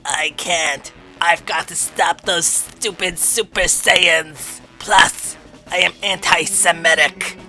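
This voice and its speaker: A man's voice: fairly high pitch, fairly even intonation, takes it slowly, pushed and bright, faint music behind, heavily acted. A man putting on a spiteful, haughty cartoon alien tyrant in an amateur impression, theatrical and menacing.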